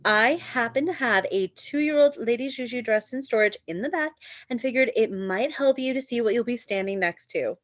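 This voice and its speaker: A woman reading a prim, gently condescending bridal shop saleswoman in a comedy table read. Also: a little high, animated, moderate pace, no room sound, lo-fi sound, pitched above the performer's own voice, close to an everyday voice. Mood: polite selling.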